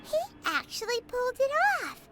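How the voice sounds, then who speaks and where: A woman voicing a small, chatty, childlike fairy companion in a video game, with a squeaky way of talking. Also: sing-song, normal speed. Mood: amazed, concerned. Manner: squeaky, refers to herself in third person.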